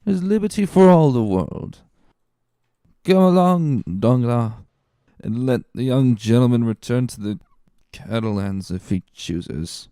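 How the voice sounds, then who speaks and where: A man voicing a good-hearted, stubborn drunk in a dramatic reading, with slurred, drawn-out speech. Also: medium pitch, animated, dark tone, smooth, dry recording, studio quality, voice pushed up, lightly acted.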